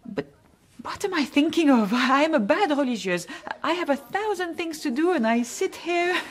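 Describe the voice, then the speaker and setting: A woman plays a devoted nun in a radio drama. Her voice is fairly high, and she talks in a chatty, confiding way with gentle self-reproach. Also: animated, moderate pace.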